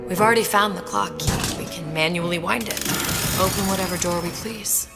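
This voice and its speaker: A young woman acting a clever, lonely, guilt-ridden heroine in a video game, with a breathy, emotional delivery. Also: fairly low pitch, brisk. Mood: explaining. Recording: music under the voice.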